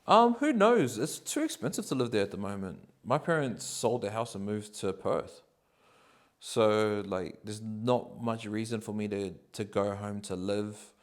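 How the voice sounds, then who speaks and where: A man, answering interview questions in a relaxed, rambling way with many ums and likes. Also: fairly low pitch, unhurried. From New Zealand. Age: 30s.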